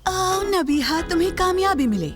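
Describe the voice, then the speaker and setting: A woman voicing a snooty, mocking schoolgirl tennis rival in an animated cartoon dub, sneering and taunting. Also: extremely high pitch, normal speed. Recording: music behind the voice.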